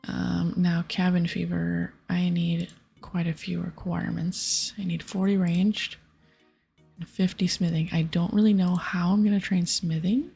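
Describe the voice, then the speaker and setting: A woman speaks in a very breathy half-whisper with some creak, hosting a gaming ASMR video. Her voice sits a little low and she is soothing and unhurried, with faint music behind her. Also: flat delivery, mellow. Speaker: host of a gaming video series. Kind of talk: ASMR game playthrough, softly spoken and whispered.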